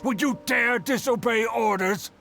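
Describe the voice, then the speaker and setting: An actor playing a shady older merchant in a game, bossy, defensive and dismissive. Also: male voice, very high-pitched, a little slow, gravelly, sharp tone, soft background music, heavily acted. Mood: commanding. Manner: blustering, commanding, dismissive.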